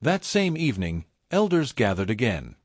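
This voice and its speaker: A man narrating a scripted folktale in a solemn, portentous way, in short measured phrases. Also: medium pitch, very animated, dry recording, clean studio sound. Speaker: storyteller, narrator.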